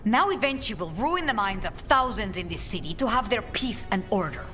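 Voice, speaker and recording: An actress playing a resolute, solemn leader of an assassin guild in a video game, speaking in a measured, commanding way. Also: female voice, mid-range voice, very animated, sharp tone, soft background music. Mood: grave, indignant.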